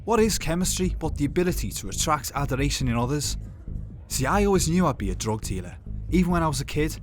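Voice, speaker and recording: A man's voice: fairly high pitch, sing-song, fast, faint music behind, voice pushed up. A man playing a chatty, oily, manic drug dealer in a film character monologue. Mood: oily, persuasive.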